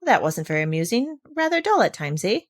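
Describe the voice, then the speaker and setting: A woman reading aloud voices a kindly older male doctor in a storybook, speaking in a gentle, soothing way. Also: mid-range voice, very animated, fairly quick.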